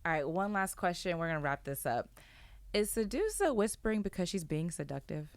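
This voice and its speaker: A woman's voice: on the low side, sing-song, fairly quick, no echo. A woman hosting a podcast, upbeat and casual as she wraps up the episode. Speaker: podcast host. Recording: clear background noise.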